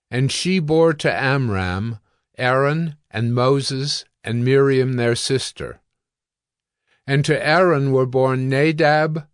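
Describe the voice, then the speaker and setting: A man narrating scripture from a script in a solemn, formal and measured way. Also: medium pitch, clear, resonant tone, dry recording, clean studio sound.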